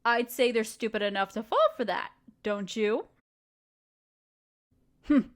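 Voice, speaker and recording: A woman's voice: on the high side, takes it slowly. A woman voicing a ruthless, scheming evil queen in an audio roleplay, speaking in a condescending, sardonic, commanding way. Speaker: voice actor.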